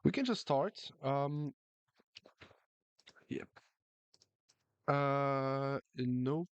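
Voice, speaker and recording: A man's voice: medium pitch, extremely slow, smooth, no room sound, dull audio. A man chatting casually on a live chess stream, reading the chat and often repeating his words. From France.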